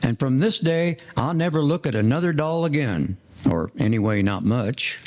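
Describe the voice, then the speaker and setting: A man's voice: medium pitch, lively intonation, moderate pace, darkish tone, close to an everyday voice. A man playing a street-wise rum-runner in a 1940s-style audio play, talking in mannered, formal slang. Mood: rueful. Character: lovelorn male Prohibition rum-runner, wordy street-wise gangster.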